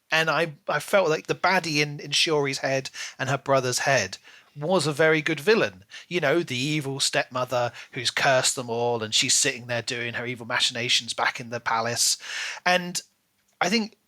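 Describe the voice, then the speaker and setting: A man hosting a casual book discussion, animated and dramatic, arguing his opinions. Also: on the high side. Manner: animated, dramatic, mock-voices characters' lines. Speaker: book podcast host.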